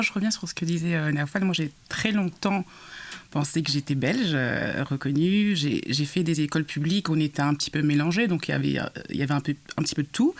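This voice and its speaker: A young woman from Congo recounting her experiences in a podcast conversation, animated and full of hesitations and restarts. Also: low-pitched. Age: young adult. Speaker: guest sharing her experience.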